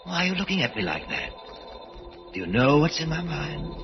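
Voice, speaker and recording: A man's voice: on the high side, sing-song. A man playing a menacing killer who believes he is Death, in brooding, theatrical old-time radio horror style. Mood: predatory. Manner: brooding, theatrical, deliberate soliloquy. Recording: muffled old radio recording with music.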